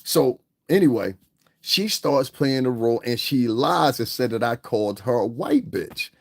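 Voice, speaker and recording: A man tells a long story in a loose, animated way. He acts out the people in it with sudden leaps in pitch. His voice sits in a middle range and sounds close and dry. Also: measured pace, creaky, no room sound. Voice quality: deep voice. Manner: animated, acts out others' lines with big pitch jumps. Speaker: vlogger. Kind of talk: casual storytelling rant. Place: home in Connecticut.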